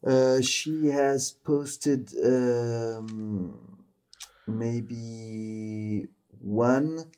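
A man with a French accent, co-hosting a podcast in casual chat, halting with many fillers and restarts. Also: medium pitch, warm tone, clean tone. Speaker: podcast co-host.

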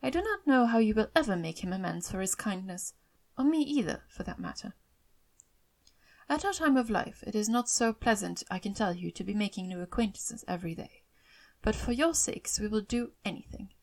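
A woman voicing a fretful, self-pitying, excitable middle-aged mother in an audiobook of a classic novel, with emphatic, complaining delivery. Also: mid-range voice, moderate pace. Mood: gushing.

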